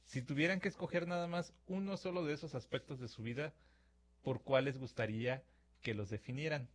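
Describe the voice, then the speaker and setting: A man's voice: medium pitch, fairly quick. Mexican man hosting a reflective monologue. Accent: Mexican Spanish. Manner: conversational, acts out imagined dialogues with filler words. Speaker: radio-style podcast host. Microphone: studio microphone.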